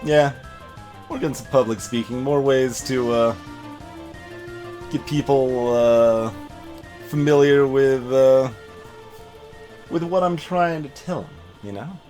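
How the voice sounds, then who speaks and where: A man live-streaming a game with playful, animated delivery, chatting casually and reading the game text aloud. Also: medium pitch, slow, long pauses. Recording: music behind the voice. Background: game music.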